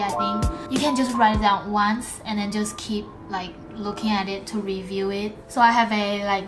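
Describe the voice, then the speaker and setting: Young woman doing casual vlog tips, non-native phrasing, pretty even tone, loud music behind her. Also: medium pitch. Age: young adult. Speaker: student pilot vlogger. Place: small room indoors. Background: background music.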